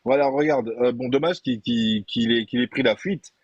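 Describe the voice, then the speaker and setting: A man with a husky, mid-pitched voice arguing in a heated live debate. He is insistent, repeats himself and keeps talking over the others to hold the floor. The sound is dry and close. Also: moderate pace, no room sound, highs cut off. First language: not Arabic. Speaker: debate participant.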